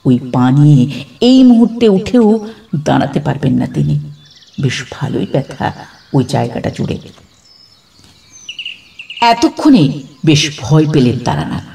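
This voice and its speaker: A man narrating a horror story dramatically, building suspense with pauses between short phrases. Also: fairly high pitch, very animated, gravelly, mellow, recorded from a distance.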